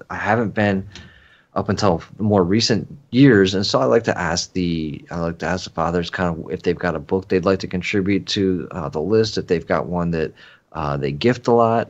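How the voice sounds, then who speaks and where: A man with a very deep voice talks in an easygoing, earnest way as a podcast guest, with plenty of ums and uhs. The recording sounds a little dull and muffled. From Philadelphia area. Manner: easygoing, filler-heavy, earnest. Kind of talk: casual chat.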